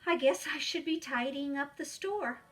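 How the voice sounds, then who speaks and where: A woman's voice: high voice, moderate pace, far from the mic, pitched above the performer's own voice, close to an everyday voice. A woman voicing a friendly, kind-hearted male pet shop helper, cheerful and reassuring, in a storybook read-aloud. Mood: fond.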